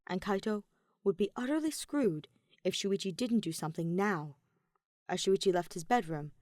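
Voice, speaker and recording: A woman's voice: on the low side, darkish tone, dry recording. Woman narrating fiction, dry close sound. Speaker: audiobook narrator of fan fiction. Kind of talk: scripted dramatic narration.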